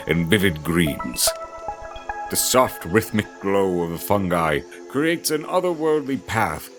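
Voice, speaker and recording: Male narrator for fantasy audio drama, theatrical storytelling, very animated range, clear musical backing. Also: fairly low pitch.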